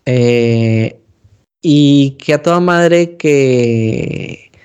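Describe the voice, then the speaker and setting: A man in casual chat, slangy and animated, acting out quoted voices. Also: medium pitch, very slow, clear, resonant tone. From Monterrey, Mexico.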